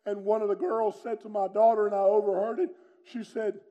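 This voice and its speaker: Booming preacher man. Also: level tone, a little fast, lo-fi sound. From North Carolina, USA. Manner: booming, loud and strong delivery. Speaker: pastor. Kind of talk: sermon with personal testimony.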